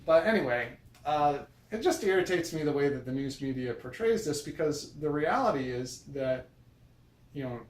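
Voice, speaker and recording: A man's voice: fairly high pitch, lively intonation, normal speed. An American man talking in a casual, rambling vlog style, hesitant and often correcting himself as he goes. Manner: hesitant, meandering, self-correcting. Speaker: video blogger. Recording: roomy, distant mic with noise.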